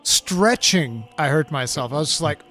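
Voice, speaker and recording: A man's voice: mid-range voice, normal speed, faint music behind. A man in his forties chatting casually on a game stream, with a teasing, playful manner and frequent exclamations and swearing. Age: 40s. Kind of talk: casual chat, banter.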